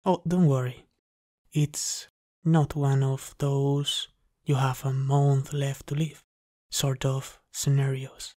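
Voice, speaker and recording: A man playing an obsessive, polite vampire lab technician in a slow, intimate ASMR roleplay, speaking softly and haltingly. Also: mid-range voice, no room sound, clean studio sound. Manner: hushed, halting, deliberately polite. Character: soft-spoken obsessive male vampire lab technician, courteous, menacing.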